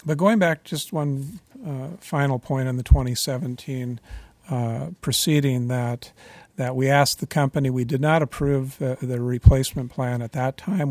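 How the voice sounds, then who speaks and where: A man speaking as a utility regulator at a public meeting, measured and formal, with frequent fillers and restarts. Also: medium pitch, a little mellow, dry recording.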